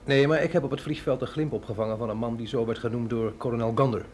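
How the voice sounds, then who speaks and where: A man's voice: medium pitch, steady tone, quick pace, darkish tone, slight background noise, close to an everyday voice. An actor in a radio drama playing a wry, understated male agent, a curious outsider who questions and hesitates. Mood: cautious, questioning.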